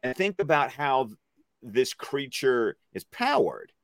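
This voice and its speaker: A man co-hosting a casual discussion show, explaining references in an enthusiastic, nerdy, halting way. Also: mid-range voice, big pitch swings, fairly bright tone, no echo. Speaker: co-host of animated series discussion show.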